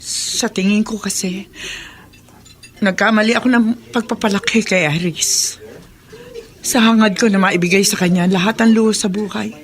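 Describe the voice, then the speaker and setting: A woman playing a gentle, regretful middle-aged mother in a radio drama, mild and troubled, with a Filipino accent. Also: mid-range voice, fairly even intonation, moderate pace, long pauses, lightly acted. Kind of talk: Tagalog radio drama acting.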